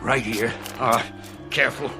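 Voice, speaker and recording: A man's voice: mid-range voice, fairly even intonation, unhurried, vocal fry, music in the background. An actor playing an anxious, loyal boxing cornerman in a radio drama, fretful and pleading. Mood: fretful, concerned.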